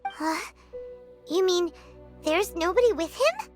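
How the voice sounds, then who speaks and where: A woman voicing a small, excitable, childlike fairy companion in a video game, with a squeaky voice. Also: slow, soft background music, exaggerated voice. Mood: alarmed.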